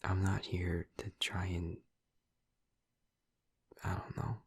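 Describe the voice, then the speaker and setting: Gentle, awkward male vampire roommate, acted by a man: deep, gravelly, soft-spoken and hesitant, intimate roleplay delivery up close. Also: completely flat delivery, dry recording, full character voice. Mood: awkward, sincere. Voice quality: soft, breathy, husky. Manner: hesitant, halting, tender. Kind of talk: intimate audio roleplay addressed to the listener. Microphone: close, dry microphone.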